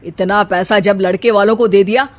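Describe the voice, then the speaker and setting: A woman's voice: medium pitch, steady tone, normal speed, natural voice. Bitter middle-aged father, scolding, storybook read by a woman.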